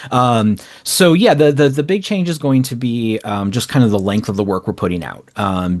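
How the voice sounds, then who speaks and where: A man giving casual, explanatory interview answers in long, rambling, informal runs as a guest. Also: on the low side. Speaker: interview guest, small indie publisher.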